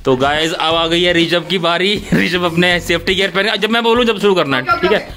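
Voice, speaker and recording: A man hosting a casual family vlog. He chats, laughs often and rushes through explanations of game rules. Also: high-pitched. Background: background music.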